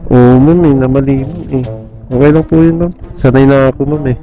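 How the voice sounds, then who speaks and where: A man's voice: mid-range voice, steady tone, brisk, muffled recording. A man chatting casually in a teasing, joking way as a motorcycle taxi rider, through a helmet intercom. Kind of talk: casual chat. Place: outdoors on a moving motorcycle. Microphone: helmet intercom microphone. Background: loud music.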